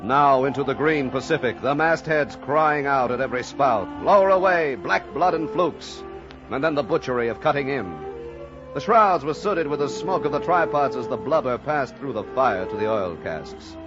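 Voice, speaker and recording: A solemn narrator of a sea tale, voiced by a man, declaims in rhetorical, literary style with a mid-pitched voice. The old radio recording is dull, with clear music playing behind. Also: expressive, normal speed, close to an everyday voice. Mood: vivid. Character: solemn storyteller of a seafaring tale.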